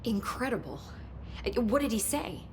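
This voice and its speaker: A woman's voice: a little high, sing-song, moderate pace, slight background noise. A woman playing an eager, talkative research scientist in a video game, explaining technical details keenly. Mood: intent.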